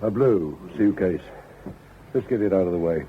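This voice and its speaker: An actor playing a dogged, brusque police inspector in a radio crime drama, clipped and probing in manner. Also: male voice, low voice, lively intonation, moderate pace. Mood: commanding. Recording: muffled radio drama recording, faint noise.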